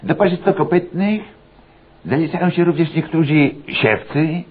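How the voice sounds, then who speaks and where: An actor playing a pompous, bootlicking, dim trainee who recites ponderously in muddled officialese for a radio satire, in a very husky voice. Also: male voice, on the high side, normal speed, lightly acted. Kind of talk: satirical radio cabaret acting. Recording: tinny, band-limited radio recording.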